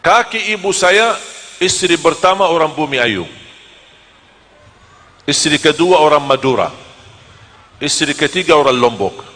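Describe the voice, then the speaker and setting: A man from Medina gives a sermon with jokes and lively stories. His voice is bright and forceful and sits a little high for a man. It rings out with a long reverb, as in a large hall. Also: a little slow, long pauses. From Medina, Saudi Arabia. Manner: joking, lively storytelling. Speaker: preacher. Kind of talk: humorous sermon to a live audience.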